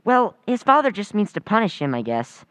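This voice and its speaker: A woman's voice: medium pitch, sing-song, a little fast, fairly bright tone, no echo. A woman playing an eager, chatty teenage schoolboy in an old-time radio comedy, with gushing politeness. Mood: chatty, earnest. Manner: earnest, gushing, boyish.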